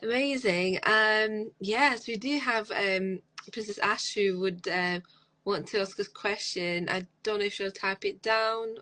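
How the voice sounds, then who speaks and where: A woman's voice: medium pitch, measured pace, pushed and bright. A woman hosting a live online interview, upbeat and affirming. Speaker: host.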